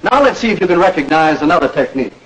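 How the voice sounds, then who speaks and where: An actor playing a patient campaign mentor, explaining and probing with questions in a 1940s educational film. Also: male voice, a little high, expressive, racing, fairly bright tone. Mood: instructive. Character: patient campaign speechwriter coaching a young learner. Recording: noisy old 1940s film soundtrack.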